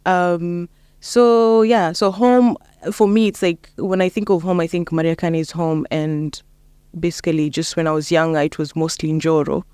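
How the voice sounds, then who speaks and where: A woman's voice: on the low side, steady tone, moderate pace, mellow, clean tone, dry recording, clean studio sound. A young woman with a Kenyan accent, chatting casually as an interview guest. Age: young adult. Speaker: interview guest, lawyer and mentor.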